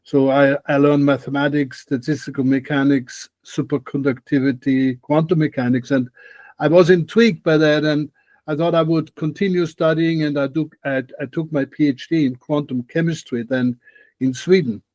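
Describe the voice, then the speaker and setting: A man's voice: on the high side, steady tone, normal speed, a little mellow, no room sound. An older man from Austria with an Austrian accent, a guest expert answering questions thoughtfully on a video call, often restarting his sentences. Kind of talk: interview answers. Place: home room, video call.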